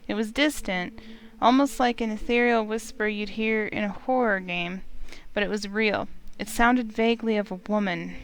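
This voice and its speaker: A woman hosting a game playthrough, reading the story aloud as expressive narration. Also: mid-range voice, moderate pace, poor audio.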